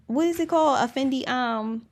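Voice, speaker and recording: A woman's voice: high voice, normal speed, a little mellow. A young woman with a girlish, baby-like voice, hosting a podcast in a casual, rambling chat full of fillers, restarts and giggles. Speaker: podcast host.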